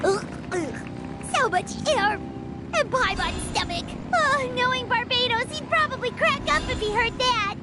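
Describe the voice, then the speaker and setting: A woman voicing a tiny, excitable fairy companion in an anime-style video game, chattering dramatically and talking about herself in the third person. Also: extremely high pitch, measured pace, over loud music. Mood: queasy, complaining. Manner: exclamatory, dramatic, refers to self in third person.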